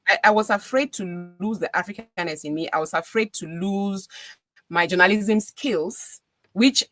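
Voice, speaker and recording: A woman's voice: mid-range voice, normal speed, a bit bright, soft background music. A middle-aged woman with a Cameroonian accent, a radio DJ talking earnestly as a podcast guest and repeating phrases for emphasis. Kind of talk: casual interview conversation.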